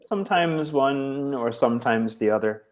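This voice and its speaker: A man's voice: medium pitch, moderate pace. Man, english tutor, easygoing, encouraging, lots of mm-hmms, video call. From United States. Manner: relaxed, encouraging, many short affirmations. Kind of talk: casual tutoring chat.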